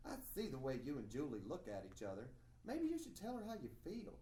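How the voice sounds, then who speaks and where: A man acting an affectionate, candid mentor who coaxes and advises in an expressive way. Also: on the high side, brisk. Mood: concerned, affectionate. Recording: echoey room, distant mic, faint noise.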